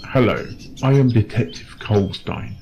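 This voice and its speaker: A man voicing a weary, earnest detective doctor with a formal, deliberate way of talking in a dramatic story reading. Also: on the low side, animated, creaky, mellow, slight background noise. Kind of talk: dramatic fan fiction reading.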